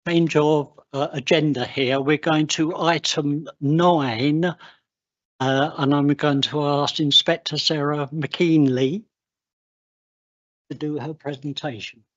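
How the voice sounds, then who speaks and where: English man chairing a council meeting, slow halting pace, muffled lo-fi sound. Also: fairly high pitch, steady tone. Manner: slow, halting, with many uhs. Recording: dull lo-fi council livestream audio.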